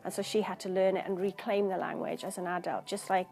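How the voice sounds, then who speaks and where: Woman poet, mid-pitched, thoughtful interview talk, earnest and searching. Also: level tone, fast talker, darkish tone, soft background music. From Wales.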